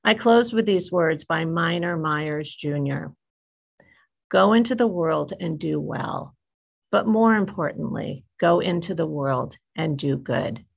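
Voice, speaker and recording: Middle-aged woman keynote, steady read delivery, raspy and low. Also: unhurried. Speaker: keynote speaker. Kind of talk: prepared commencement address.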